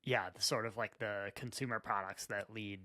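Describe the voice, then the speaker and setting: A man co-hosting a podcast, wry and quick-witted, giving his opinions in casual chat. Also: on the low side, steady tone, sharp tone, no echo, studio quality. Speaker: podcast co-host. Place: Utah.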